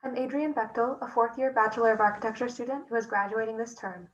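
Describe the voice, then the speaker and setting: Quick-talking young woman on dull call audio. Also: fairly high pitch, fairly even intonation. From Minnesota, United States. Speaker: student presenter. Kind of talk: academic presentation. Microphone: video call.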